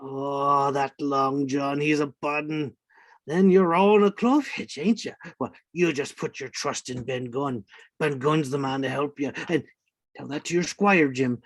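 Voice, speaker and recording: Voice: medium pitch, measured pace, dry recording, natural voice. An actor playing a marooned, half-mad old pirate castaway in a radio play, rambling and repeating words in rustic sailor speech. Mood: confiding. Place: indoors, remote online reading. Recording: dry video-call recording.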